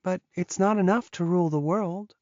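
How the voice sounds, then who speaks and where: A woman's voice: on the low side, normal speed, warm tone, no echo, highs cut off, natural voice. A woman voicing a loyal, resigned witch guarding a captive in an audiobook, matter-of-fact as she explains. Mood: explaining, matter-of-fact.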